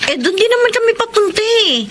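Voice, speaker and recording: A woman's voice: very high-pitched, big pitch swings, fast, a bit bright, a little hiss or hum, exaggerated voice. A woman playing a troubled young bride-to-be in a radio drama, with a Filipino accent and an emotive, melodramatic delivery. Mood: determined. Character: troubled young bride-to-be confessing a fateful temptation. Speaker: lead actress and letter narrator. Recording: music bed behind voice.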